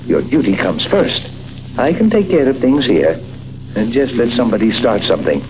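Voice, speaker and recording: Kindly doctor, very animated delivery. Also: male voice, mid-range voice, moderate pace. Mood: reassuring, feisty. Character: kindly, confident older doctor with detective know-how. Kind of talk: 1940s radio acting. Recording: muffled, hissy 1940s radio recording.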